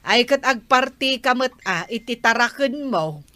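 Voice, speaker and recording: A woman with an Ilocano accent acting an expressive, indignant village wife in a comedy drama. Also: fairly high pitch, a little slow, no echo. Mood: animated, complaining.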